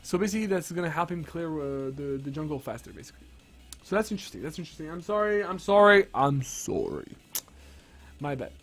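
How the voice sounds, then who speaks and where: A young man with a sick, strained voice, rambling excitedly through game patch notes with lots of restarts. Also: on the high side, brisk, faint music behind. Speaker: gaming streamer. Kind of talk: casual patch-notes commentary.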